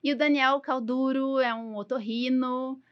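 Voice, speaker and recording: A woman's voice: sits high, fairly even intonation, measured pace, muffled recording. A woman in her forties from Brazil, an allergist hosting a casual podcast, chatty and full of little fillers.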